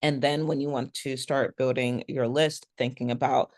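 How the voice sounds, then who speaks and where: A woman business coach speaking as a podcast guest, enthusiastic and animated, her voice a little raspy after a long event. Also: a bass voice, no room sound, studio quality. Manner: animated, enthusiastic, quick to explain. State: slightly raspy after a three-day event. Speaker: guest, personal branding coach. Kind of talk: podcast interview conversation.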